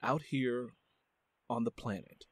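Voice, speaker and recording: A man's voice: medium pitch, slow, slightly rough, no room sound. A man hosting an interview podcast, speaking earnestly and repeating phrases for emphasis. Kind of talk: casual interview conversation.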